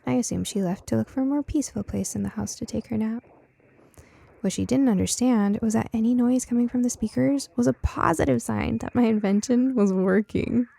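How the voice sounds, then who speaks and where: Woman as a chatty science-minded girl, creaky voice. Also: a little high, brisk. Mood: explaining, eager. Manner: conversational, expressive asides. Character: curious, science-minded girl narrator, dryly dramatic and chatty. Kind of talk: first-person audiobook narration.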